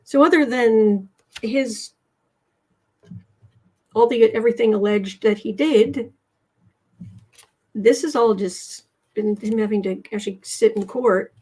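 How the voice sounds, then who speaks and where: An American woman hosting a casual tarot livestream, chatting haltingly as she comments and reads out viewer messages, often repeating her words. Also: on the high side, darkish tone, husky. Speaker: host of a live tarot and astrology show.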